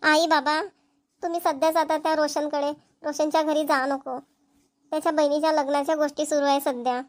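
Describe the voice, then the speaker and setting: A woman's voice: sits high, level tone, fairly quick, close to an everyday voice. A woman voicing an earnest, stubborn young village woman in a Marathi cartoon, with a rural Maharashtrian accent. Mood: earnest pleading. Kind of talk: amateur cartoon comedy-drama dubbing.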